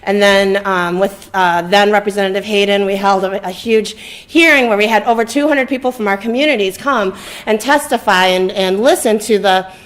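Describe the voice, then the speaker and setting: A woman speaking informally as a community advocate on a panel, pausing often as she goes. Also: mid-range voice. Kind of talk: informal public panel talk. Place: indoor library event.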